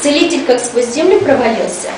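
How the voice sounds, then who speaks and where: A woman's voice: high-pitched, animated, brisk. A woman voicing a chatty, folksy school cleaning lady who tells gossipy tales to children, in colloquial language. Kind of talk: storytelling to children. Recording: echoey room, distant mic, noisy.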